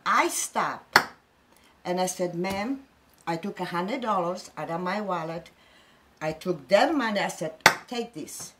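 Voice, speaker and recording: Polish-accented woman, lively pitch. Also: a little slow. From Poland. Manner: earnest, storytelling, emphatic. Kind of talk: casual spiritual chat.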